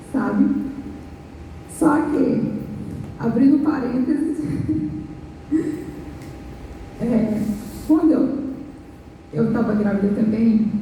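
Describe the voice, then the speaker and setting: Hoarse Brazilian woman pastor, earnest halting testimony, heard from afar in a booming hall with faint noise. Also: dark tone. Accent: Brazilian Portuguese. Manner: earnest, halting retelling with restarts. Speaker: pastor and worship minister. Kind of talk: church testimony. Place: large church hall. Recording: echoey church hall, distant mic, faint noise.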